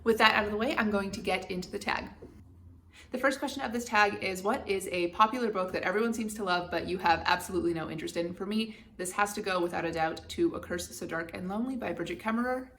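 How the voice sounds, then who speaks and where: Young woman book vlogger, frank and opinionated with a dry wit, mid pitch. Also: fast, fairly bright tone, a little hiss or hum. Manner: candid, opinionated, wry. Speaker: BookTube channel host. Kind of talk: casual book tag vlog.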